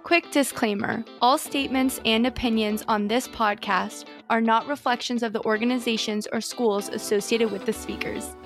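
A woman's voice: on the high side, fairly even intonation, normal speed, hardly any pauses, faint music behind. A young woman hosting an interview podcast, introducing the show and talking with her guest. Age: young adult. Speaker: podcast host.

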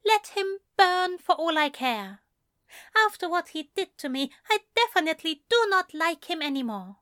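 A woman's voice: very high-pitched, big pitch swings, moderate pace, pushed and bright, full character voice. A woman voicing a fussy, prim male angel bookseller in an audio fan fiction drama, with wry, precise phrasing. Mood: indignant, sulky.

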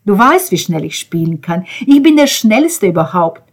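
A woman reading as a grieving, bitter young man, a drummer, in an intense first-person monologue that veers between hushed whispers and outbursts. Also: lowish voice, unhurried, husky. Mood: anguished. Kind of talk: dramatic audiobook reading.

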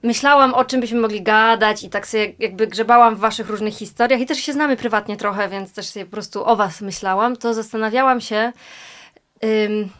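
A woman's voice: fairly high pitch, brisk, a bit bright. A woman hosting an interview, asking long, rambling questions with fillers. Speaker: interviewer, host.

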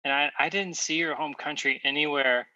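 Man playing a high-voiced, meek, hesitant fellow. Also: steady tone, pushed and bright. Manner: hesitant, halting, apologetic. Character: meek, slow-witted, reluctant accuser. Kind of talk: improvised comedy character acting. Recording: dull, slightly distant microphone.

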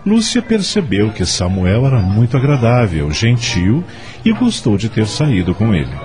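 Low, lively male drama narrator. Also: moderate pace, music in the background. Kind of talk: scripted radio drama narration.

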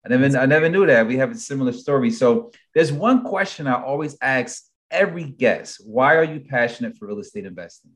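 A man's voice: medium pitch, lively intonation, brisk, hardly any pauses. A man hosting an interview podcast, chatting in an upbeat, conversational way. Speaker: podcast host.

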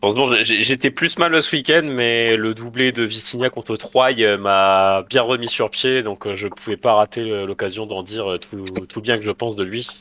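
A man with a cold, deep and smooth-voiced, giving measured football analysis with hesitant pauses. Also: fairly even intonation, pushed and bright. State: has a cold. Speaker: podcast co-host.

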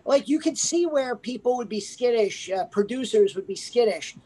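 A woman's voice: fairly high pitch, animated, normal speed, mellow, poor audio. Rambling woman full of "like"s. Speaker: podcast co-host. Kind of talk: casual movie review chat.

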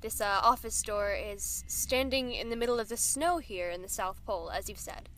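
A woman's voice: mid-range voice, normal speed, a bit bright, slight background noise, natural voice, poor audio. An actress playing a persistent female reporter who questions an official in a probing, skeptical way. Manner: probing, skeptical, hesitant fillers. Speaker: journalist interviewer. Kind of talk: audio drama acting.

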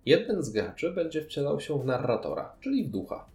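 A man's voice: a little high, sing-song, a little fast, a little mellow, echoey room, faint music behind. A man chatting casually as co-host of a board game review show. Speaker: podcast co-host.

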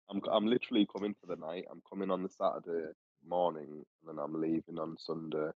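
A man's voice: low-pitched, steady tone, fairly quick, no echo. A man chatting about fights on a podcast, hesitant with many ums and chuckles, over an online call. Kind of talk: casual sports chat. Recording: dull, dry online call audio.

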